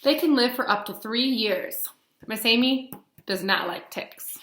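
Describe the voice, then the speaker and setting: A woman in her thirties reading a picture book aloud as a teacher, animated and explaining things simply to young children. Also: a little high, a little slow, slight background noise. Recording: roomy echo.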